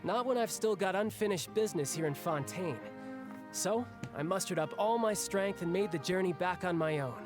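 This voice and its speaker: An actor playing a cocky, restless young warrior in a game, breezy and self-assured. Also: male voice, sits high, moderate pace, over music, natural voice. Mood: casual. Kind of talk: game voice acting.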